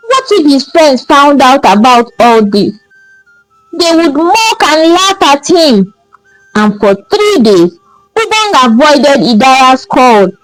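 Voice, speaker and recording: A woman's voice: high voice, no room sound, low-quality recording. A woman with a Nigerian accent narrating a folktale expressively as a storyteller.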